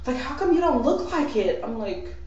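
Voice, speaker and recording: A young woman from West Africa ranting casually to camera as a vlogger, sarcastic and animated, with many filler "likes". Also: high-pitched, normal speed. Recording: very echoey, distant, dull lo-fi sound.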